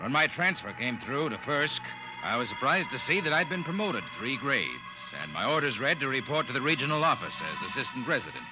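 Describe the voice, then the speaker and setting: Animated officer played by a radio actor. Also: male voice, mid-range voice, a little fast, lightly acted, poor audio. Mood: reminiscing. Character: young eager frontier-service officer, recalling his past posting. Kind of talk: 1950s radio drama acting, narration and dialogue. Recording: old 1950 radio recording, music underneath.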